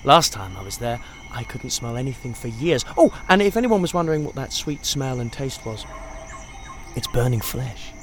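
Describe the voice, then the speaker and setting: An actor playing a flippant, sardonic, charming rogue in an audio drama. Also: male voice, mid-range voice, sing-song, normal speed, slight background noise, natural voice. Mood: wry. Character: flippant, charming, theatrical male hellish wanderer named Serenity. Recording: outdoor insect and bird ambience behind.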